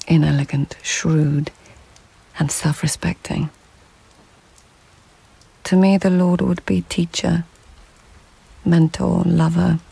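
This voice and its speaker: An actress playing a reflective court lady of old Japan, narrating in a measured, poetic, breathy near-whisper for a radio drama. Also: fairly low pitch, warm tone, full character voice, low-quality recording. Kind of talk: intimate audio drama narration.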